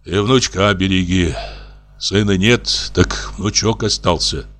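A man voicing a gentle old peasant man who speaks softly and tenderly. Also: low-pitched. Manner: soft, slow, tender.

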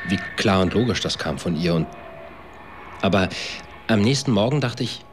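An actor playing a troubled man recounting events in a radio drama, in a halting, colloquial way. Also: sits low, lively intonation, background noise. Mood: troubled recollection. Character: troubled male swarm organizer recounting events. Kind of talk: confessional radio drama narration.

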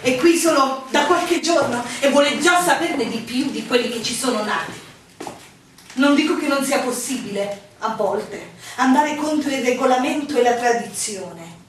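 A woman's voice: sits high, slight background noise. An actress playing a stern, condescending innkeeper woman in an Italian radio play, speaking in a formal, admonishing way. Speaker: stage actor in a radio play. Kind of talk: theatrical radio drama dialogue. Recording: very echoey, distant, music behind.

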